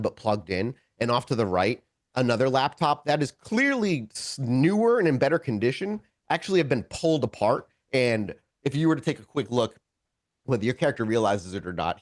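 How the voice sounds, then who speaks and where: A man's voice: medium pitch, dry recording, clean studio sound. A man narrating a tabletop role-playing game as game master, improvising scene descriptions and often correcting himself as he searches for words.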